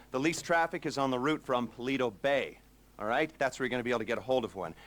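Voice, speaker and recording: A man's voice: medium pitch, a bit bright, natural voice. Man acting a wordy, wry criminal heist planner. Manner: wordy, digressive, stammering asides with dry sarcasm. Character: nerdy, rambling criminal heist planner briefing his crew. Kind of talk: video game mission briefing.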